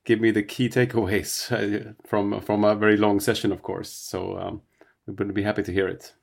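A man's voice: on the low side, little pitch movement, moderate pace, gravelly. A man co-hosting a podcast, chatting casually and with enthusiasm, often laughing. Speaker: podcast co-host. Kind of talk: casual interview chat.

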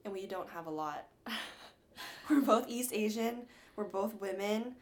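A woman's voice: medium pitch, animated, takes it slowly. A young woman from Atlanta co-hosting a casual college radio podcast, upbeat and laughing often between prepared lines. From Atlanta, Georgia. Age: young adult. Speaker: podcast co-host.

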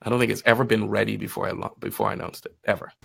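A man telling stories casually in conversation, acting out bits of quoted dialogue in an animated manner. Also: fairly low pitch, very fast, creaky, slightly rough. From Guyana and Trinidad. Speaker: podcast guest, financial advisor.

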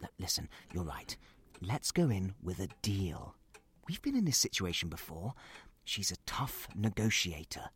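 An actor playing an exasperated dad with a short temper in an audio drama, moving between hushed mutters and loud outbursts. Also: male voice, deep voice, very animated, a little slow, slightly rough, heavily acted. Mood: conspiratorial.

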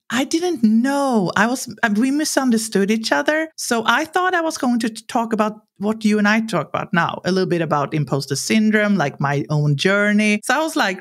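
A woman in her forties from Sweden, speaking English with a Swedish accent, trades stories as a podcast guest. She talks candidly and acts out quoted lines, with a voice that sits in a middle register. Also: mid-range voice. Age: 40s. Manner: animated, acts out quoted lines, laughs while talking. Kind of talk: candid conversational storytelling.

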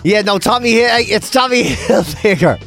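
A man's voice: high voice, animated, moderate pace, vocal fry, a bit bright, faint music behind. A male radio co-host, chatting casually at a studio mic and telling jokey stories full of "right?". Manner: joking storyteller, tags lines with "right?". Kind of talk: casual chat. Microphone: studio microphone.

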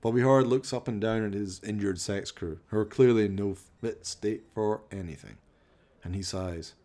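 A man's voice: on the low side, moderate pace, dark tone. A man narrating a radio play as dramatic scripted storytelling.

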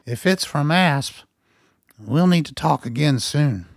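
A man's voice: a little high, lively intonation, takes it slowly, dry recording, clean studio sound, full character voice. An actor playing a worried, guarded male scientist in a radio play, speaking earnestly. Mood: uneasy.

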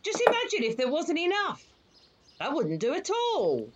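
An older wife and mother in an audio play, fussing and chiding with very animated pitch. The recording is dull and muffled, with a faint layer of noise. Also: moderate pace. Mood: fussy, chiding. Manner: fussy, chiding. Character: fussy, bossy older wife and mother, long married. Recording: muffled, noisy home recording.

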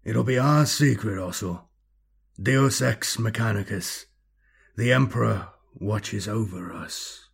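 Man playing a weary, husky tech-priest. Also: on the low side, takes it slowly, full character voice. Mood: grim resolve. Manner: muttering, grave. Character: weary, grimly resolute male tech-priest, a fatherly mentor.

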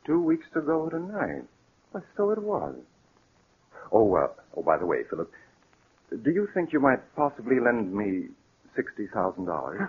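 A man's voice: fairly low pitch, wildly swooping pitch, moderate pace, long pauses, husky, heavily acted. A man acting a scheming, controlling blackmailer in a 1940s radio drama. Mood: slyly polite. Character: scheming, controlling male blackmailer, smooth and evasive. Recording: muffled vintage 1940s radio recording.

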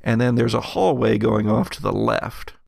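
Gravelly male game master, close and dry. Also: fairly low pitch, steady tone, normal speed, darkish tone, dry recording. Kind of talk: improvised narration of a tabletop game.

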